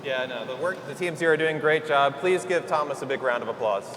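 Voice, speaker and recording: A man's voice: mid-range voice, fast, background noise. A man hosting a conference stage, introducing speakers in an upbeat way. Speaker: event host. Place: large conference hall. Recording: hall reverb with music behind.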